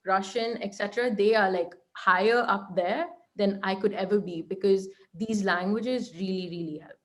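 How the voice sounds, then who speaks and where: A young woman with an Indian accent answers interview questions at a steady mid pitch. She gives earnest, practical advice and keeps checking in with a quick "right?". She often restarts her phrases as she talks. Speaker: guest, law lecturer. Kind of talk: online interview conversation.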